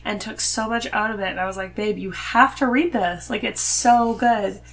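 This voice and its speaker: A woman with a mid-pitched voice chats casually as a podcast guest. There is some faint noise behind her voice. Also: normal speed, gravelly, a bit bright, low-quality recording. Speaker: podcast guest, host's wife. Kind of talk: casual chat.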